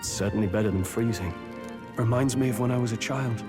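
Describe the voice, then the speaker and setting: An actor playing an earnest, reflective young nobleman in a video game, with quiet bitterness in his delivery. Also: medium pitch, a little fast, music in the background, close to an everyday voice. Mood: reflective, wistful. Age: young adult.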